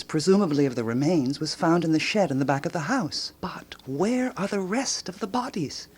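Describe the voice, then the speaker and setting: A woman acting in a radio drama as a ruminative amateur detective who theorizes aloud with deliberate, rhetorical phrasing and dramatic pauses. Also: low voice, a little mellow, breathy edge, exaggerated voice.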